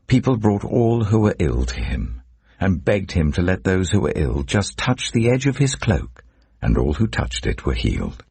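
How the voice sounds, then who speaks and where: A man reading scripture as a narrator, in a solemn, measured, dramatic storytelling delivery. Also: low-pitched, sing-song, creaky, a little mellow, slightly rough, no echo. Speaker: Bible narrator.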